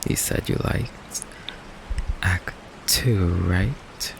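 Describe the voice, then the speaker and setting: A man playing an affectionate but evasive goth vampire boyfriend in an ASMR roleplay, talking haltingly with nervous stammers. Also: sits low, gravelly, background noise, exaggerated voice. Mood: affectionate, playful. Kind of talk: ASMR roleplay, intimate one-sided conversation. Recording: rain ambience behind close voice.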